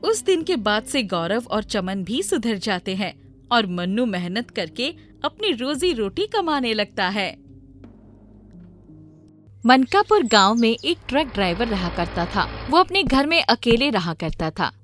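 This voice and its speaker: A woman's voice: a little high, big pitch swings, measured pace, a bit bright, faint music behind. A woman reading scripted narration for a moral story in a storyteller's manner.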